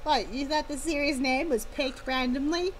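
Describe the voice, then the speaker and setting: Woman streamer doing a read along, kinda high voice, playful side comments, bit of noise behind her. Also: moderate pace, rough recording. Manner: playful, exclaims in asides. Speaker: livestreamer, author reading her own story aloud. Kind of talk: story read-along with playful casual asides. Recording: clear background noise.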